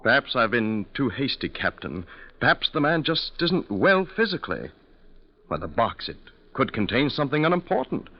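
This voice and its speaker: An actor playing a curious, concerned gentleman narrator in a 1940s radio drama, formal and measured, on a muffled old recording. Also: medium pitch, sing-song. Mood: curious, conciliatory. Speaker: narrator and lead character. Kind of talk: 1940s radio acting, storytelling.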